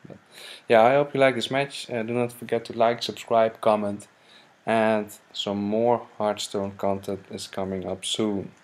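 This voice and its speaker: A young man from the Netherlands with a Dutch accent, hesitantly commenting on his own card game match in halting English. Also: fairly low pitch, little pitch movement. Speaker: gaming channel host. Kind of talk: gameplay commentary. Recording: noisy home mic recording.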